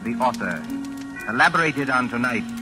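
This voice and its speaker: Male radio narrator, medium pitch, deliberate, dramatic, vintage broadcast, prominent music. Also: lively intonation. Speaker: narrator and announcer. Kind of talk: 1940s radio suspense narration.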